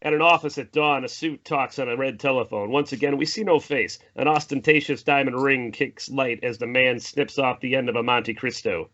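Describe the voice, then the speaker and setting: A man's voice: medium pitch, steady tone, normal speed, few pauses, sharp tone. A man reading screenplay stage directions as narrator, dramatic and emphatic. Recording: dull audio, faint noise and clicks.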